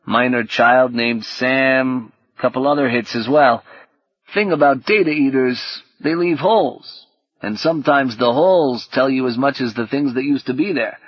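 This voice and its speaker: Man as a wry, offhand husky AI sidekick. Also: medium pitch, normal speed, dry recording, muffled recording, lightly acted. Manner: casual, clipped, deferential wisecracking. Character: wry, streetwise software assistant, loyal and offhand.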